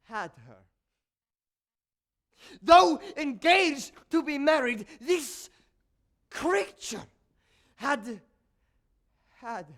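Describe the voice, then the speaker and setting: An actor on a theatre stage playing a jealous, courtly male composer, formal and measured, with bitterness under the politeness. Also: extremely high pitch, fairly bright tone, full character voice. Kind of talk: theatrical stage acting with dramatic monologue.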